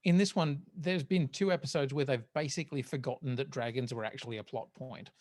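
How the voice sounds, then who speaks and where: Wry, halting podcast host with a mid-range voice. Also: mid-range voice, darkish tone. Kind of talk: casual podcast panel review.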